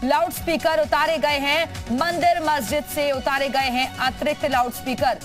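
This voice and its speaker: A woman's voice: high-pitched, steady tone, moderate pace, music in the background. A woman presenting live television news as an anchor, emphatic and repeating her headlines.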